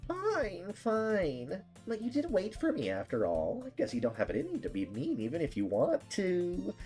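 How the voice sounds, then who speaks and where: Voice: medium pitch, huge pitch swings, moderate pace, a little mellow, soft background music, pitched above the performer's own voice. A streamer voicing a cheerful, scatterbrained teenage anime schoolgirl from a visual novel, airy and pleading. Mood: pouty, playful.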